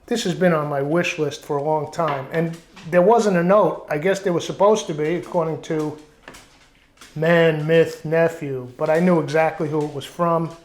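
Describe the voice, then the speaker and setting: A man rambles through a home cooking vlog as its host, telling loose stories. His voice sits slightly high. The sound is roomy and echoey. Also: fairly even intonation, moderate pace. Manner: rambling, chatty storytelling. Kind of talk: casual vlog chat. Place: outdoor backyard kitchen.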